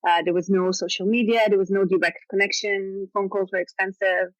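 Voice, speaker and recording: A woman's voice: medium pitch, a little fast, dry recording, muffled recording. A woman with an Israeli accent, a native Hebrew speaker, telling stories animatedly as a guest author on a casual podcast, peppered with "you know". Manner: animated storytelling with frequent "you know" and laughs.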